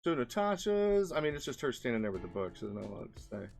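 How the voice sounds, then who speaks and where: A man's voice: medium pitch, big pitch swings, quick pace, creaky, a little mellow, faint music behind. A man giving casual commentary as an indie game developer, rambling and thinking aloud as he goes. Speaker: indie game developer presenting his experiments. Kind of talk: casual screen-share commentary.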